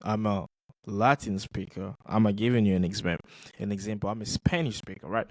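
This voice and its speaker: Animated man, dry close sound. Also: on the low side, a little fast, no room sound. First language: not English. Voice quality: animated, emphatic, repeats himself for stress. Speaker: podcast host reacting to clips. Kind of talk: casual commentary.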